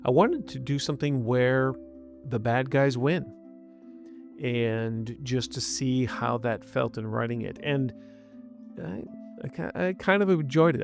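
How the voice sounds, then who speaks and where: A man's voice: mid-range voice, unhurried, vocal fry, over music. A man hosting a story podcast, giving an author's casual notes and show credits.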